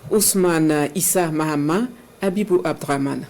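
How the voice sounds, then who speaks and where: A woman's voice: deep voice, darkish tone. Woman anchor reading a news intro. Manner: measured, formal reading.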